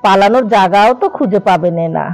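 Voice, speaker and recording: A woman playing a sharp-tongued, sarcastic village wife scolding her old husband in a cartoon. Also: medium pitch, fast talker, faint music behind, close to an everyday voice. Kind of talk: cartoon voice acting.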